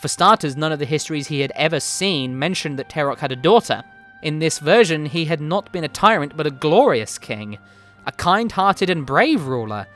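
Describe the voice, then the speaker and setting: Male narrator for a dramatic fantasy reading, slightly high voice, deliberate delivery, music underneath. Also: expressive, fairly bright tone. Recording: music behind the voice. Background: background music.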